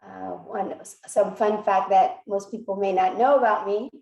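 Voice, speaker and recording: A woman in her forties who grew up in South Korea and whose first language is Korean, introducing herself in a measured way with frequent fillers and restarts on a video call. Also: medium pitch, steady tone, creaky, darkish tone. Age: 40s. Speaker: presenter. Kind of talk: personal introduction talk. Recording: echoey room, mic far from speaker.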